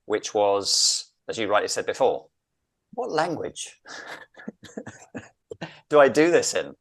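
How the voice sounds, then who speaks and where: A man's voice: fairly low pitch, very animated, normal speed. A middle-aged man from London with a southern English accent, talking reflectively and earnestly as an interview guest, often repeating phrases for emphasis. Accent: English, southern British. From London, England. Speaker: interview guest, executive coach.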